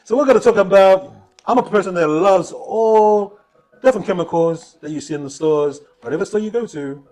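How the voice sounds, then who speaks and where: A man from Samoa acting as co-host at home, asking his wife questions in a halting, playful way, often repeating himself. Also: high voice, darkish tone, soft background music, rough recording. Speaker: co-host, interviewer. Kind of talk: casual chat.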